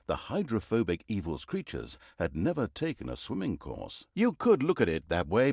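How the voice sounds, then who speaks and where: A sardonic storyteller narrating a villainous fantasy game. His mid-pitched voice swings dramatically up and down with theatrical, mock-grandiose relish. The sound is dry and close, with no room around it. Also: darkish tone, dry recording. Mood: sly, mock-grand. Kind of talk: scripted comic storybook narration.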